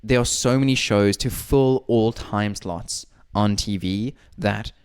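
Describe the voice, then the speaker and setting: A man's voice: fairly low pitch, clean tone. A young man co-hosting a podcast, rambling and teasing his friends in casual banter. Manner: rambling, teasing, quick asides. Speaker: podcast co-host.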